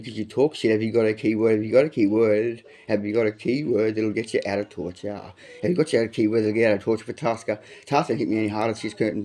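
Profane amateur rapper, dark low voice. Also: male voice. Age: adult, has a son. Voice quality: says he has a big voice. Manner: profane, aggressive, rambling freestyle bars with repeated lines. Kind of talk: freestyle rap.

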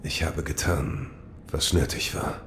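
An extremely low-voiced shinobi played by a man in an audio drama. He is terse, solemn and dutiful, with a clear rumbling noise behind him. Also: steady tone, measured pace, a bit bright. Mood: solemn, loyal. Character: stoic, dutiful adult shinobi warrior, loyal servant. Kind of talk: German audio drama acting.

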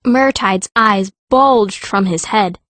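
A woman's voice: mid-range voice, slow, fairly bright tone, dry recording. A woman playing a fierce, wry teenage girl mage, narrating her own story in an audiobook. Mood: wry storytelling. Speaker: first-person narrator.

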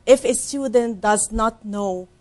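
A middle-aged woman from Lebanon, explaining her work as a career counselor in a soft, earnest way during a studio interview on a lapel mic. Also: on the high side, slow. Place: small TV studio set, seated at a desk.

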